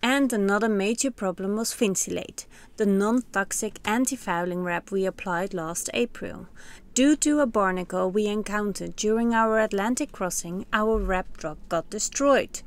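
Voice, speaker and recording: A Dutch woman in her thirties with a Dutch accent, calmly narrating her family's sailing year in a scripted voice-over. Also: medium pitch, normal speed. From Netherlands. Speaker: sailing vlogger. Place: on a sailboat cockpit under a canopy, outdoors.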